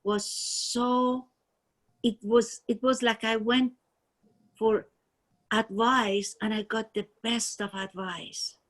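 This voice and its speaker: A woman with a Persian accent who shares a personal story in a halting, earnest way, with many restarts, as a class participant. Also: a little high, steady tone. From Iran. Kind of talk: personal testimony.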